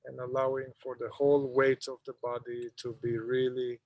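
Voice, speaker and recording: A man leading a guided meditation, speaking in an unhurried, halting way with long pauses. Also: mid-range voice, monotone, dry recording, lo-fi sound.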